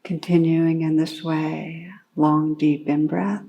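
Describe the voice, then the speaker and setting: A woman meditation teacher leading a guided meditation in a calm, unhurried way, gently instructing with long pauses. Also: sits low.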